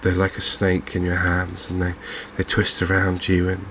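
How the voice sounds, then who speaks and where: A man giving softly spoken, ASMR-style commentary as host of a gaming channel, in a halting, understated way. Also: low-pitched, completely flat delivery, a little hiss or hum, poor audio.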